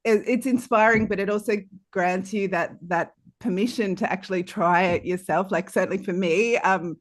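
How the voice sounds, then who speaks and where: A woman's voice: medium pitch, moderate pace, dry recording. A woman podcaster in casual, thoughtful conversation, earnest and encouraging, rephrasing as she goes. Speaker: panel guest, podcaster.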